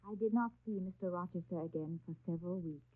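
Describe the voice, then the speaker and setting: A woman's voice: on the low side, quick pace, close to an everyday voice. An actress playing an earnest young English governess telling her story in a 1930s radio drama. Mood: reflective. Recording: muffled 1930s radio broadcast with music.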